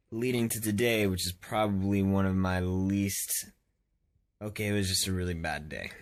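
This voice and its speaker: A man's voice: lowish voice, slight background noise. A young man telling a casual vlog story in an exasperated, self-deprecating way. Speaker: vlogger.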